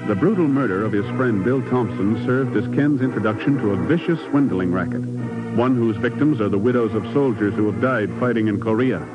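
A man's voice: on the low side, a little fast, over music. A man delivering scripted announcements and narration as a 1950s radio announcer, formal and earnest. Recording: dull vintage radio recording.

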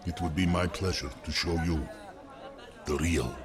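An actor playing a sinister tour guide in a radio drama, formal and courteous. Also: male voice, low voice, steady tone, measured pace. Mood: courtly. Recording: music and crowd behind the voice.

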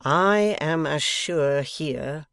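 A man's voice: fairly high pitch, extremely slow, gravelly, fairly bright tone, clean tone, no echo, clean studio sound, full character voice. A man voicing a tense schoolmaster in a novel, intense and impatient, with rage held barely in check. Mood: intense, urgent. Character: tense, haggard schoolmaster seething with repressed rage. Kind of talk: dramatised novel reading.

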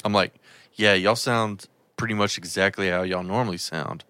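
Brief: male podcast guest, deep resonant voice, loose rambling chat, dry close mic. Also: fairly even intonation, moderate pace, pushed and bright, dry recording. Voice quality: deep voice, throat often raspy. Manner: rambling, swears, stammers on restarts. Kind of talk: casual chat.

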